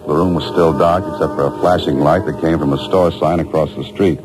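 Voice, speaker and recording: A man's voice: very deep voice, fairly even intonation, brisk. An actor playing a dry, wisecracking adventurer who narrates his own story in an old radio drama. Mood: narrating. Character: wry hard-boiled male adventurer, narrator. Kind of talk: 1940s radio acting. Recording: old radio broadcast with music underneath.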